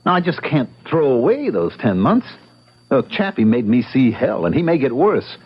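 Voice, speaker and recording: An actor playing an earnest, stubborn young American bachelor in an old-time radio drama, explaining himself emphatically. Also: male voice, mid-range voice, very animated, normal speed, husky. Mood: stubborn resolve. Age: young adult. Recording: muffled radio drama recording.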